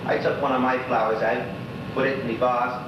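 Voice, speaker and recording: An actor playing a lonely old widower in a stage monologue, chatting wistfully and rambling in a folksy Bermudian dialect. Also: male voice, medium pitch, flat delivery, a little fast, recorded from a distance, natural voice. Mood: sorrowful, wistful. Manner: rambling, folksy, gossipy storytelling. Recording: echoey stage recording from afar, noisy, dull.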